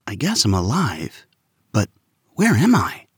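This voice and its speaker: An earnest young boy who speaks up boldly and insists on being heard, as a man plays him. His voice lifts well above a man's range and moves with lively rises. The recording is dry and close. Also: fairly low pitch, slow, slightly rough, no echo, full character voice. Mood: insistent. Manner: raised and insistent, confident.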